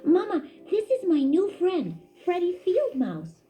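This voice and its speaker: A woman voicing an eager young boy church mouse in a childlike, excitable way for a children's story. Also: very high-pitched, unhurried, music in the background, voice pushed up, exaggerated voice.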